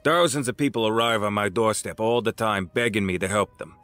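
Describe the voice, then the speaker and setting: An actor playing an older crime boss in an audio drama, patronizing and deliberate, with a smug, folksy menace. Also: male voice, on the low side, fairly bright tone, soft background music, lightly acted. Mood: smug, persuasive.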